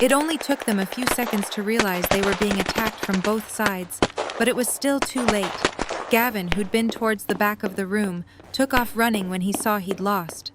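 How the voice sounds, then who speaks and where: A woman's voice: mid-range voice, heavy background noise. A woman reading scripted story narration as the narrator of an audio drama.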